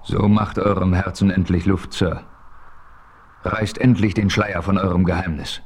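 A seasoned frontier hero who tells the story himself in an old radio play. He speaks calmly and with authority, in a very low voice. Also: husky, heavily acted. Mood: firm. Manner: calm, measured, authoritative. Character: seasoned frontier hero narrating his adventure. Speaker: narrator. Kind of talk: 1970s radio play narration.

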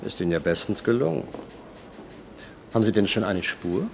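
An actor playing a stern senior police official who coldly reprimands a subordinate in a radio drama. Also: male voice, lowish voice, animated, normal speed, creaky, slight background noise. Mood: reproachful. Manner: cold, reproachful and sarcastic. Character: stern male senior police superior, reproachful.

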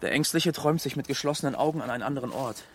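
An actor playing a haunted, dreamy hunter's son in a poetic radio monologue, absorbed and halting. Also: male voice, mid-range voice, a little hiss or hum, lightly acted. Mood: brooding. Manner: absorbed, halting, broken-off sentences.

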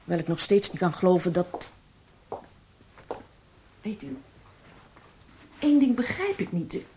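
A woman's voice: medium pitch, expressive, unhurried, darkish tone, slight background noise, close to an everyday voice. An actress playing a protective, disbelieving middle-aged mother in a Dutch radio play, speaking insistently. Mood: anxious, puzzled.

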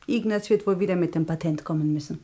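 An actress playing a penniless young hotel guest in a radio play, wistful, soft-spoken and wryly resigned. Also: female voice, on the low side, fast, natural voice.

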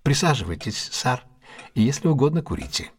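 An actor playing a confident, ironic detective with a husky voice in a Russian audio drama, speaking in a deliberate, theatrical, questioning manner. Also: male voice, mid-range voice, sing-song. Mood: polite, brisk.

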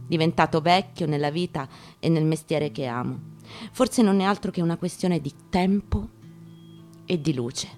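A woman, a stage actress, giving a dramatic theatrical reading of a memoir with guitar behind her. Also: deep voice. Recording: acoustic guitar playing behind the voice.

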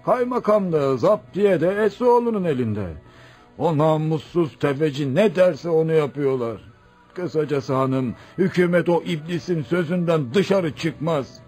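An old village elder for radio theatre. His voice sits fairly high, worn by grief and fatalism, and he speaks in a rustic, fatherly, exclamatory way, with music behind him. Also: animated, unhurried, darkish tone, slightly rough. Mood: resigned, bitter.

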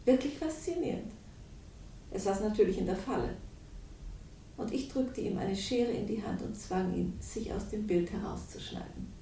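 A woman's voice: huge pitch swings, normal speed, gravelly, mellow, slight background noise. An actress playing a cold, manipulative woman in a radio crime drama, speaking with smug irony and cool amusement. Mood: smug relish. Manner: smug, ironic, coolly amused. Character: cold, manipulative, mocking woman confessing her schemes. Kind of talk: 1960s German radio crime drama acting. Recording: roomy, distant, faintly rumbling radio recording.

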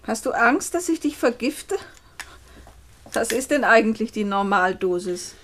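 An actress playing a put-upon, resentful niece in a radio play, speaking with a curt, dryly pointed manner. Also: female voice, fairly high pitch, expressive, a little slow, a bit bright, slight background noise. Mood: pointedly sarcastic. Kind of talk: German radio play acting.

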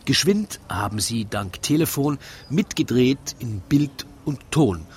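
A man reading a comic rhymed narration in a wry, theatrical, measured way. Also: mid-range voice, a little hiss or hum. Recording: lively crowd chatter behind. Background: crowd murmur.

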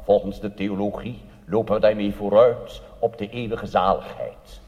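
Man playing a weary, pedantic priest, lowered voice. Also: darkish tone, natural voice. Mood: patient correction. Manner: measured, patient, correcting. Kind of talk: comic stage dialogue. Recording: live hall with long reverb.

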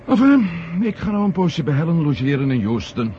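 Stubborn suspicious reporter guy in an old radio play, really animated, muffled noisy tape. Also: fairly high pitch, brisk. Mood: worried, earnest. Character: stubborn, suspicious male journalist and former astronaut. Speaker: radio drama actor. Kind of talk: 1970 Dutch radio drama acting.

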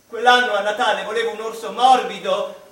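A man's voice: high voice, fairly even intonation, a bit bright. A male actor playing a tormented, eccentric mathematician in an emphatic, declamatory radio drama monologue. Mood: wistful, insistent. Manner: emphatic, declamatory, with deliberate repetitions. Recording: distant echoey room with faint background noise.

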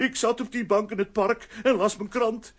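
A man's voice: high-pitched, steady tone, normal speed. An actor playing a flustered, cowardly blackmailer who stammers and whines his innocence in a children's radio play. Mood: nervous. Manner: stammering, whining.

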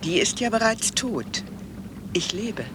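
Mysterious older lady, gracious n nostalgic, radio drama, lively pitch, music under it. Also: slow pace. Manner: formal, gracious. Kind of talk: German radio drama acting.

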